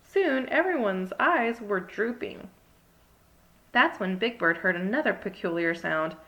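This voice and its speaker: A woman's voice: medium pitch, expressive, measured pace, bright, forceful tone, highs cut off. A woman narrating a scripted children's story as a read-along. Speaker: storybook reader.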